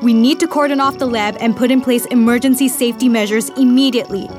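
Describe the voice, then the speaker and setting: An actress playing a firm, alarmed lab researcher in an audio drama. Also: female voice, fairly high pitch, fairly even intonation, fast, a little mellow, soft background music, lightly acted. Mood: urgent, defiant. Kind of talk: immersive audio drama acting.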